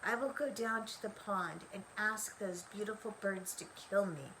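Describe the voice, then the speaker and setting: A woman's voice: mid-range voice, moderate pace, noisy background, lightly acted. An older woman voicing a lonely, self-loathing young male duckling in a storybook, in a plaintive manner. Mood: despairing.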